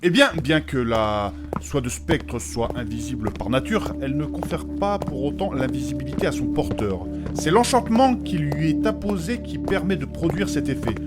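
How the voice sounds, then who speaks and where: A man playing a courteous, learned mage in a fantasy audio drama, speaking in formal, precise, long-winded sentences. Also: a little high. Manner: formal, precise, pedantic phrasing with polite address.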